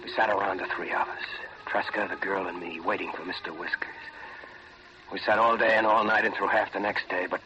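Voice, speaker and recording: A man's voice: low-pitched, steady tone, brisk, very noisy, close to an everyday voice. A man acting a tough, weary crime narrator in a 1940s radio drama, terse, slangy and hard-boiled. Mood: grim. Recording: vintage radio recording with heavy music.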